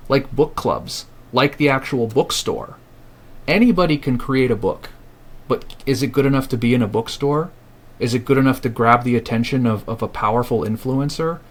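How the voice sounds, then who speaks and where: Earnest, reflective man. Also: mid-range voice. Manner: earnest and reflective, often restarting phrases. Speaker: publishing house founder, podcast guest. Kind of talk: podcast interview.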